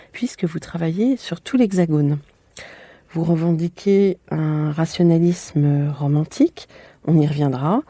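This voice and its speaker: Woman interviewer with a very dark, soft tone. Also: low voice, dry recording. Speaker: podcast interviewer. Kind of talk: prepared interview introduction and questions.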